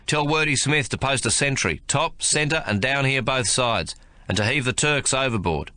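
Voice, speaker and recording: A man playing a blunt, steady army sergeant. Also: medium pitch, moderate pace, no echo, studio quality, voice pushed up. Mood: commanding. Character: gruff male Australian army sergeant.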